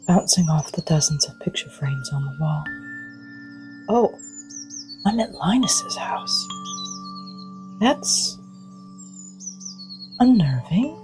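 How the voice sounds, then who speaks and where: An actress playing a wild, wary young woman, speaking a reflective, hushed and halting monologue in an intimate urban fantasy audio drama. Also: deep voice, music in the background. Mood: musing, unsettled.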